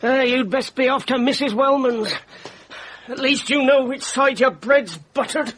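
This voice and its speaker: A man's voice: extremely high pitch, unhurried, a bit bright, slight background noise. An actor playing a bitter, grumbling old father, querulous and scornful, in a radio drama.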